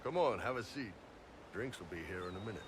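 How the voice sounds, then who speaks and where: An actor playing a huge, gruff, easygoing horned warrior who leads mercenaries in a video game, blunt and commanding with casual swagger. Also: male voice, fairly low pitch, animated, fairly quick, background noise, close to an everyday voice. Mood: gruff, jovial. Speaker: mercenary captain.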